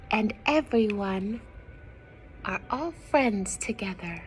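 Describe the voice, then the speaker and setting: A woman reading a picture book aloud to young children, expressive and gentle, often dropping into hushed asides to her listeners. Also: fairly high pitch, poor audio. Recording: some background noise.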